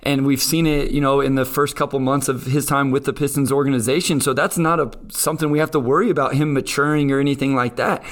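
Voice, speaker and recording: A man's voice: medium pitch, steady tone, fast, few pauses, a bit bright. A man hosting a sports podcast in a conversational style. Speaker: podcast host.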